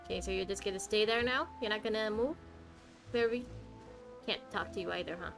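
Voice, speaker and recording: A woman's voice: medium pitch, fairly quick. A woman giving casual live gameplay commentary, chatty and playful as she reacts aloud to the game. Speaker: game streamer. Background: game music.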